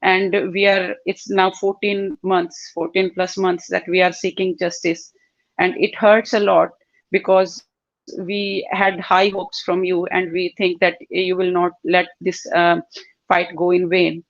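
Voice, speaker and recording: A woman calling into a live show over a video call, making an earnest, heartfelt appeal with many pauses and fillers. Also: on the low side, level tone, dry recording. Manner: pleading, heartfelt, halting with fillers. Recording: muffled video call audio.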